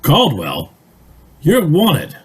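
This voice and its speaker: Man playing a husky, gruff police sergeant. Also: a little high, huge pitch swings, extremely slow, full character voice. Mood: gruff, wary. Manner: barking, blunt, colloquial. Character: gruff, bossy police sergeant, tough and plain-spoken. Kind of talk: amateur old-time radio comedy acting.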